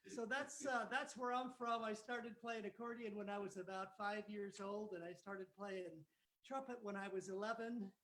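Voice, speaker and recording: A man's voice: sits high, fairly even intonation, fairly bright tone. A man in his nineties from East Los Angeles, a mariachi musician casually introducing the band with jokes and fillers. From East Los Angeles, California. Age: 90s. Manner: joking, halting with fillers. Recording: roomy echo.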